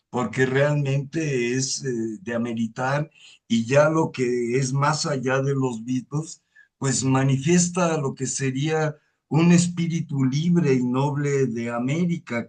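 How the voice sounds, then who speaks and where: A man hosts a relaxed interview. He speaks in long, winding sentences and stops often on drawn-out fillers. His pitch sits in an ordinary middle range for a man. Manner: hesitant and wordy, many drawn-out fillers. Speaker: host. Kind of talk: conversational interview on a video call.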